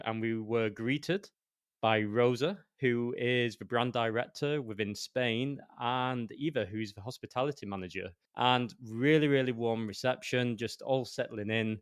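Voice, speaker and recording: A man's voice: on the low side, clear, resonant tone, dry recording, studio quality. A man with a Yorkshire accent, co-hosting a podcast and telling a rambling, enthusiastic travel story with frequent restarts. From northern England. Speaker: podcast co-host. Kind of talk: casual storytelling.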